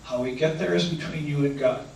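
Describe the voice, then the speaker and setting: A man's voice: on the high side, brisk, vocal fry, darkish tone, distant mic. Earnest man speaking in an echoey hall. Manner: earnest, hesitant, restates questions. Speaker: canoe trip leader answering audience questions. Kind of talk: talk with audience Q&A. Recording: echoey room, speaker away from mic, faint noise.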